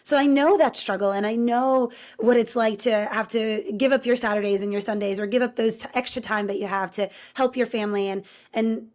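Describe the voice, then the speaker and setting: A woman's voice: on the high side, steady tone, fairly quick, hardly any pauses, a little mellow. A woman originally from Poland, hosting a podcast, asking a guest questions in an encouraging, conversational way. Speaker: podcast host. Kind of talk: casual interview talk.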